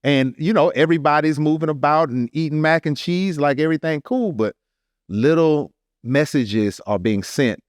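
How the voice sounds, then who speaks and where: A man's voice: fairly high pitch, normal speed, no echo, clean studio sound. An American man co-hosting a podcast, giving casual commentary in an emphatic, slangy and somewhat preachy manner. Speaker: podcast co-host.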